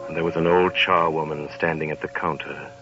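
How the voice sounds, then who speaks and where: A man's voice: rumbling low, little pitch movement, brisk. A man giving dramatic, sonorous scripted narration in a 1930s radio drama. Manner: sonorous, theatrical. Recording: dull 1930s radio broadcast with music.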